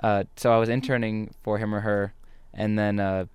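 A man's voice: fairly low pitch, level tone, moderate pace, a little mellow, smooth, no room sound. A young man from California with an American accent, chatting informally and ramblingly as a radio guest. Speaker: guest, trainee DJ. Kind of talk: casual interview chat. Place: radio studio.

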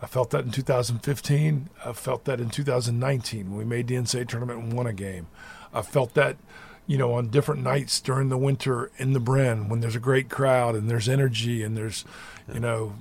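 A middle-aged man, a college basketball head coach, answering interview questions in a thoughtful, measured way with frequent restarts. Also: medium pitch, fairly even intonation, creaky, slight background noise.

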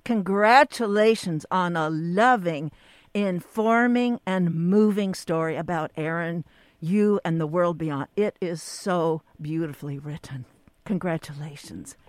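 A woman's voice: fairly low pitch, unhurried, no room sound. A woman hosting a radio talk show, reading her guest's introduction and then interviewing her.

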